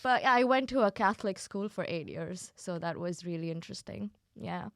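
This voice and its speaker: Comedy guest, young woman, low voice, giggly and foul-mouthed, dry close sound. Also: moderate pace, vocal fry, no echo. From Kuwait. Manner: laughing, self-deprecating, swearing freely. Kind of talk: casual podcast chat.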